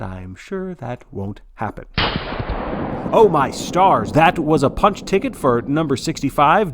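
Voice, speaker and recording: Casting: male sports commentator, acted by a man, folksy and blithe, lively swooping delivery, for a dystopian audio drama. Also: medium pitch, lightly acted. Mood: earnest, placating, then excited, solemn. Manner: folksy, showy, blithely cheerful about grim events. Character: jovial male sports broadcaster of a dystopian death march.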